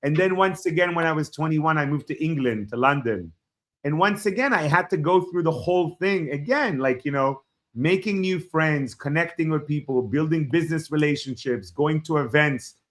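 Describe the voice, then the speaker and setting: A man in his forties from Israel, a Hebrew speaker, telling his life story as a podcast guest in a reflective, halting way. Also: fairly high pitch, fairly bright tone. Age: 40s. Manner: reflective, halting starts with frequent fillers. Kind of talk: personal storytelling in an interview.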